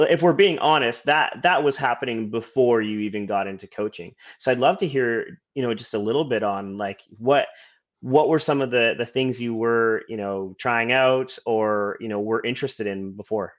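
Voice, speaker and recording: A man hosts an online interview as a coach, drawing his guest out with slow, deliberate, encouraging questions. His voice sits mid-range and the call audio is dull and lo-fi. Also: medium pitch. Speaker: host and life coach.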